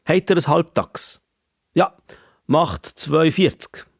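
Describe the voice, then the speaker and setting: A man voicing a curious, easily amazed old-fashioned gentleman from the past in a children's story, with a formal, wondering way of talking. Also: a little high, sing-song, slow, gravelly, no echo, studio quality, voice pushed up, heavily acted. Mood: officious.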